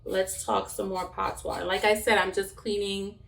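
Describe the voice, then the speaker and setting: A woman's voice: mid-range voice, little pitch movement, normal speed, gravelly, recorded from a distance, heavy background noise. A woman from New York, born in Jamaica, chatting casually and rambling while she cooks in her home kitchen. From born in Jamaica, raised in Brooklyn, New York. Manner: rambling and chatty, laughs often, talks while chopping. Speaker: cooking vlogger. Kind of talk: casual chat while cooking.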